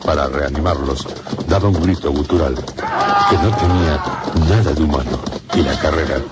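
A man narrating a gothic horror radio drama in a solemn, measured way. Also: a bass voice, heavy background noise. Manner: solemn, measured storytelling in short phrases. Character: grave male narrator of a gothic horror tale. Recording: music under the voice.

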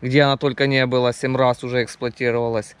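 A man's voice: medium pitch, flat delivery, fast, clear, resonant tone. A man narrating a casual camping vlog outdoors, talking to viewers. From Ukraine. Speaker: camping vlogger. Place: outdoors at a forest campsite.

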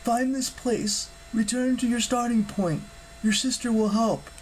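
A man voicing a grave, urgent imprisoned goddess in an audiobook, speaking solemnly and deliberately as if delivering prophecy. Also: extremely high pitch, steady tone, a little hiss or hum, pitched above the performer's own voice, low-quality recording. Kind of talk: audiobook character acting.

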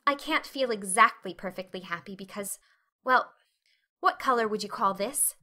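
A woman's voice: medium pitch, normal speed, pushed and bright, lightly acted. A woman voicing a talkative, dreamy, dramatic orphan girl with an earnest, effusive manner in an audiobook. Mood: eager, happy.

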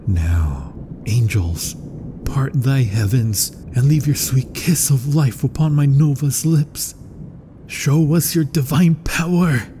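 A man playing an obsessed, grandiose mad scientist in a gothic horror ASMR roleplay, with florid, dramatic, often breathy delivery. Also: mid-range voice, takes it slowly, close to an everyday voice. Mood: rapturous anticipation. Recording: thunderstorm and rain noise. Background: rain and thunder ambience.

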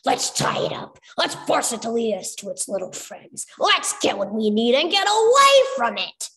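A woman's voice: a little high, very animated, normal speed, bright, forceful tone, exaggerated voice. A woman voicing a brash, suspicious male rabbit sidekick, sneering and emphatic, with slangy speech. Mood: outraged.

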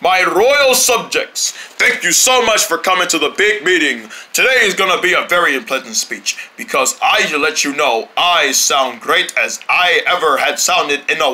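A performer voicing every character in a homemade paper puppet skit, jumping between squeaky and low put-on voices with lots of shouting and crying.

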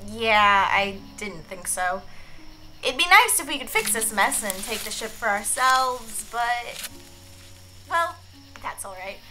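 A woman's voice: a little high, bright, forceful tone, low-quality recording. A woman playing a bold, chatty thirteen-year-old stowaway in a sci-fi radio drama, breezy and curious, often hesitating. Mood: musing. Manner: breezy, talkative, hesitating with uhs. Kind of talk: science fiction radio drama acting.

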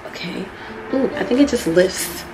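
Excitable young woman unboxing a laptop. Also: medium pitch, moderate pace, music in the background, rough recording. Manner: chatty and excitable, addresses viewers as y'all. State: sniffly, getting over a cold. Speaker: vlogger. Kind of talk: casual unboxing vlog. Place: small carpeted living room indoors.

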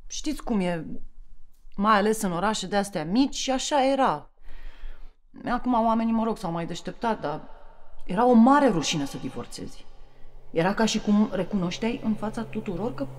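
A woman's voice: medium pitch, expressive, long reverb tail, lightly acted. An actress playing a long-suffering middle-aged mother, plain-spoken, emphatic and resentful, in an audio drama. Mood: resentful, weary.

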